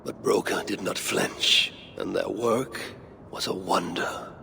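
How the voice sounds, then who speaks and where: Grave, cunning male Norse god for a game; slow, gravelly delivery, measured and secretive. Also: low-pitched, fairly even intonation, bright, forceful tone, a little hiss or hum, exaggerated voice. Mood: solemn storytelling. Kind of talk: video game character acting.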